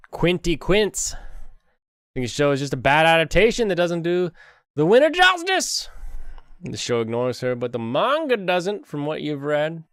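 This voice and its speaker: A man hosting an anime review stream, chatting casually and rambling, with a jokey, animated manner. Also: a little high, clear, resonant tone, no echo, studio quality.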